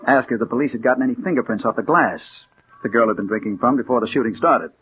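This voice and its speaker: An actor playing a hard-boiled American detective, narrating and acting with dramatic intensity in a 1940s radio crime drama. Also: male voice, mid-range voice, fast talker, faint music behind, close to an everyday voice. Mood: terse. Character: hard-boiled male private detective bent on revenge. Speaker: narrator and lead character. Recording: muffled old radio recording with hiss.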